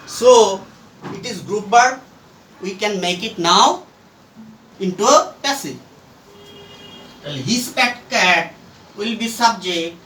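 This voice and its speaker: A man teaching a grammar lesson at a board, speaking in deliberate, halting, emphatic phrases, recorded from a distance in an echoey room. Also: sits high, pushed and bright. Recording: roomy echo, distant mic, faint noise.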